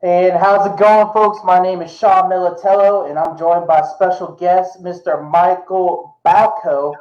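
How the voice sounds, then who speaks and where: A man's voice: sits high, fairly even intonation, a little slow, reverberant, lo-fi sound. A man hosting a podcast, speaking casually and stumbling over repeated words. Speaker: podcast host.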